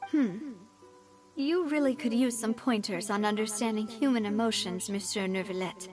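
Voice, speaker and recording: A woman playing a confident, frank, warm-hearted young gang leader in a video game, with a polished conversational delivery. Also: medium pitch, moderate pace, over music, close to an everyday voice. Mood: frank, gently chiding.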